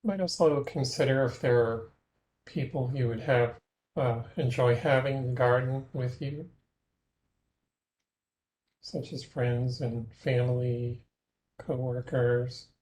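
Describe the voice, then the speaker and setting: A man guides a meditation in a very soft-edged, dark and mellow voice at a middling pitch. He speaks gently and in measured phrases, leaving plenty of space between them as he invites the listener to imagine. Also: steady tone, low-quality recording. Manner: gentle, measured, with long pauses.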